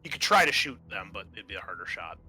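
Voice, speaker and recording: A man's voice: lowish voice, sing-song, fast, bright, forceful tone, a little hiss or hum. A man working as game master, casually narrating scenes and talking through rules with some swearing.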